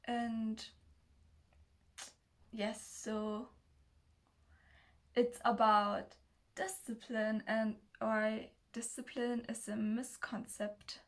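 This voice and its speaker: A nineteen-year-old woman from Germany with a German accent, talking casually to the camera in a nervous, hesitant, halting way as a non-native speaker. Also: fairly high pitch, little pitch movement. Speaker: self-development video creator. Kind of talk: casual personal talk to camera.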